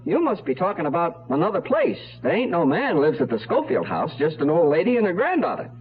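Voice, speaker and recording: An actor playing an excitable, folksy everyman sidekick in a 1970s radio drama. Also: a little high, fairly quick. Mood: puzzled, casual. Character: excitable everyman riding along with lawman. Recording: tinny old radio, faint noise.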